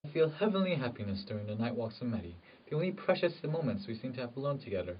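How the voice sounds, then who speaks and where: A man's voice: mid-range voice, big pitch swings, fast, breathy edge. A man playing a poor, conflicted farmer with rural old-fashioned phrasing in an amateur period drama. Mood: brooding, longing. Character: poor, conflicted married farmer, secretly in love. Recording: muffled audio, distant microphone.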